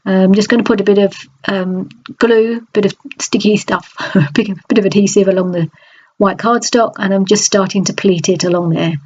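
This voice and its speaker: A woman with a stuffy, nasal voice from a cold, chatting in a relaxed voice-over about a craft project, with many small restarts. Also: mid-range voice, normal speed, dull audio. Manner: chatty, hesitant, frequent restarts. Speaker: scrapbooking crafter. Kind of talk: process video voice-over.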